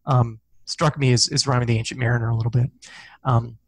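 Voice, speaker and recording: A man's voice: mid-range voice, little pitch movement, fast, no echo, low-quality recording. A man in his forties speaks through a headset microphone from a small office, a guest author on a video call. He thinks aloud, hesitating and restarting often. His voice sits mid-range and sounds close and dry. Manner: hesitant, many ums and restarts, gestures with hands. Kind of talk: casual video call interview. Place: small office with corkboard, indoors. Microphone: headset mic on video call.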